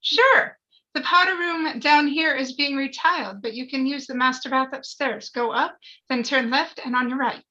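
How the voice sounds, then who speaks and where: A woman's voice: high voice, moderate pace, pushed and bright, lo-fi sound. A woman reading the part of an earnest, polite, gushing wife who yearns for a baby, in a screenplay table read. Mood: polite hostess. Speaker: actor in a live table read.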